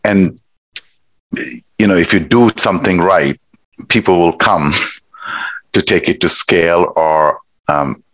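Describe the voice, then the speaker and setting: Middle-aged man from Nepal, very low voice, dry sound; measured, thoughtful interview guest. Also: fairly bright tone, no room sound, rough recording. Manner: thoughtful, measured, with pauses and fillers. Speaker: guest, executive director. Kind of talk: conversational interview.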